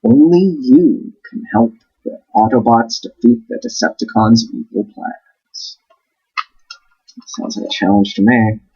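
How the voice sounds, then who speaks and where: A man's voice: medium pitch, sing-song, a little slow, creaky, breathy edge, lo-fi sound. A man reading a storybook aloud as host, with wry, playful asides.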